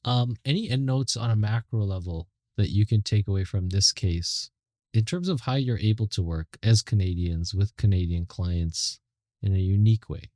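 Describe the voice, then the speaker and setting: A man with a low voice hosts the interview in an easygoing way. He asks loose questions filled with "you know, like" and drops in quick jokes. The recording is close and dry. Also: darkish tone, no echo. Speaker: podcast host.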